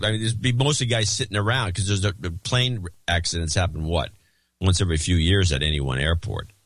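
A man's voice: deep voice, a little fast, fairly bright tone, no echo. A man co-hosting a podcast in casual banter, wry and teasing, rambling off on tangents. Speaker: podcast co-host.

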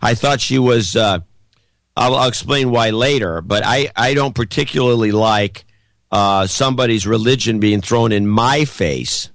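A man with a cold, his voice gravelly and hoarse, pushing hard and almost shouting through casual opinionated chat as a podcast co-host. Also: mid-range voice, unhurried, no room sound. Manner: loud, pushing air, close to shouting.

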